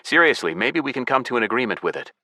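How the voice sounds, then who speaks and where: A man's voice: mid-range voice, very animated, quick pace, sharp tone, no echo, studio quality, voice pushed up. A man voicing a serious, decisive young gamer hero in an earnest, firm manner. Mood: firm, urgent.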